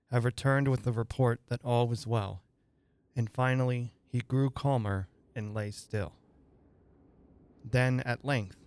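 A man giving a theatrical, deliberate and suspenseful dramatic reading of a horror story. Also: medium pitch. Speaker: host and reader of a dramatic reading radio show.

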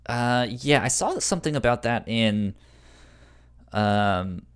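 A man's voice: mid-range voice, lively intonation, takes it slowly, clean tone. A man chatting casually as the host of a podcast.

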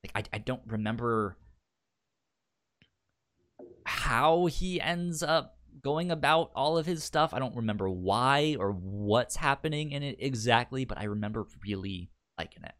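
Animated, stammering podcast co-host. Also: medium pitch, normal speed, few pauses. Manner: stammers, often repeating words and syllables. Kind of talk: casual chat, pitching and recapping anime.

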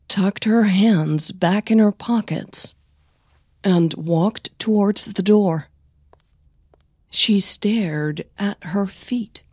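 A woman's voice: mid-range voice, a little mellow, breathy edge, no room sound, studio quality. A woman with a flu-affected voice reading dramatic fiction as a podcast narrator and host.

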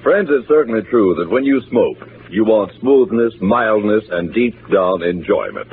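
A man's voice: fairly low pitch, lively intonation, moderate pace. A man reading a scripted vintage radio advertisement as an announcer. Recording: noisy, tinny, phone-like old radio recording.

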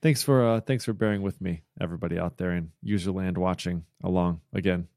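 A man's voice: low voice, steady tone, brisk, mellow, no room sound, clean studio sound. An American man in his thirties co-hosting a podcast in loose, rambling chat full of fillers, talking into wireless earbuds. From United States. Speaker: podcast co-host.

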